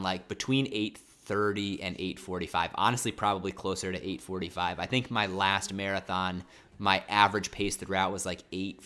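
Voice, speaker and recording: A man with a very low voice and a bright, forceful edge, talking casually to viewers on a livestream. He rambles and corrects himself as he goes. A mild cold leaves his throat a little sore. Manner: rambling, self-correcting, chatty. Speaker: livestream host.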